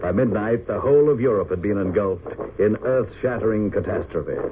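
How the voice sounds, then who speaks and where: A man's voice: on the low side, normal speed. A man narrating an old radio drama in a grave, theatrical, formal announcer's style. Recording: noisy narrow-band old radio recording.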